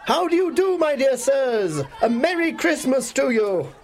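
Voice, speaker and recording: Giddy, high-voiced reformed old miser. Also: male voice, big pitch swings, measured pace, some chatter behind, full character voice. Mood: warm eagerness. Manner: exclamatory, gleeful.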